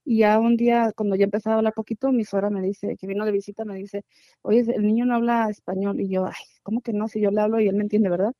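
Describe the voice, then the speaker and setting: A woman's voice: medium pitch, flat delivery, fast, no echo, highs cut off. A woman telling a personal story in casual conversation.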